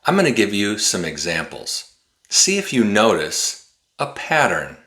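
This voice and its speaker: A man in his forties giving an English pronunciation lesson to camera, enunciating deliberately and carefully like a teacher. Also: lowish voice, huge pitch swings, pushed and bright. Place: small indoor room with bookshelf.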